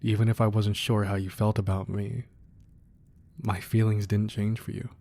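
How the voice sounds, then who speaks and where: A man playing a devoted, protective crime boss boyfriend in a romantic ASMR roleplay, speaking softly and earnestly with hesitant pauses. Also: on the low side, level tone, lightly acted. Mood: tender, reflective. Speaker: audio roleplay performer. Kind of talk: romantic ASMR roleplay, intimate scripted monologue.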